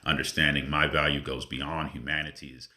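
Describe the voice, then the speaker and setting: A man with an extremely deep voice that carries a forceful, pressing edge. He talks through a spontaneous spiritual monologue, earnest and reflective, letting long pauses hang between phrases. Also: flat delivery. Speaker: spiritual vlogger.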